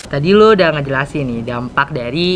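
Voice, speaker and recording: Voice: medium pitch, expressive, brisk. A teenage podcast host with a Jakarta accent, chatting casually and mixing English into slangy, informal speech. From Indonesia. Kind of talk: casual interview chat.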